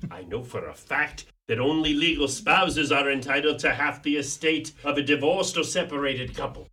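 A man plays a grasping Irish nephew in a radio drama. His voice is bright and forceful, leaping about in pitch as he blusters and argues. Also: expressive, moderate pace. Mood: indignant. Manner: blustering, argumentative. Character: grasping, indignant Irish nephew eager for inheritance. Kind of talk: radio play acting.

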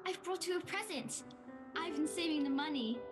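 A woman's voice: high voice, expressive, normal speed, over music. A woman playing a sweet, earnest young girl, somebody's little sister, in a radio play. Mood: eager.

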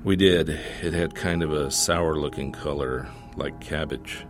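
A man's voice: very deep voice, measured pace, over music. A man reading his short story as a scripted narration in a wry, folksy storytelling manner.

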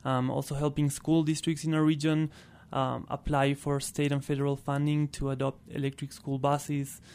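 A man with an Argentine Spanish accent and a clean, smooth voice speaks as an interview guest. His pitch sits a little high and stays fairly even. He talks casually and often says "you know.". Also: moderate pace. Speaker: interview guest, clean transportation programme worker.

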